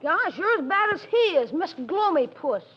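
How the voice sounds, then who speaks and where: Cheeky young boy, teasing, very high and lively, vintage lo-fi radio. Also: moderate pace. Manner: teasing, wisecracking. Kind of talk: 1940s radio acting. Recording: dull lo-fi 1940s radio broadcast.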